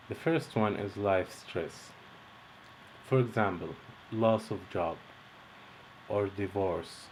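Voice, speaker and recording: Young male instructor from Egypt, deep voice, measured scripted read with faint background noise. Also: a little mellow, rough recording. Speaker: course instructor. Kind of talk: read-out lesson introduction.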